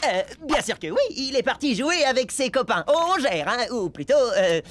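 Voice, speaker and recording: A woman voicing an excitable, scheming young boy blob in a cartoon dub, exclamatory and quick to stammer when cornered. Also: on the high side. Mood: nervous, evasive. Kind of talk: animated cartoon dub for teens.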